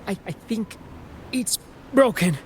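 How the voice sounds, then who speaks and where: A woman voicing an injured video game survivor in pain, dazed and halting, with strained gasps. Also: mid-range voice, expressive, a little hiss or hum. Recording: music under the voice.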